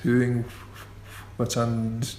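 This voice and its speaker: A man's voice: medium pitch, monotone, a little mellow. A man who stammers, talking in a radio interview with repeated sounds and restarts. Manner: slow and considered. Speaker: interview guest, musician. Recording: echoey room with faint ambient noise.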